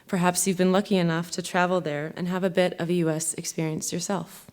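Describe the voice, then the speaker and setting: A young American woman giving a measured, earnest spoken essay from a theater stage, as music plays behind her. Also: on the low side, little pitch movement, a little mellow. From United States. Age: young adult. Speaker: violinist and speaker.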